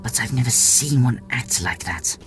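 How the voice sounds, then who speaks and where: A man's voice: medium pitch, expressive, moderate pace, over music, voice pushed up, lightly acted. A man voicing a fierce female alien pack leader for an audiobook, with a barking, commanding manner. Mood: puzzled, certain. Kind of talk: audiobook character voice.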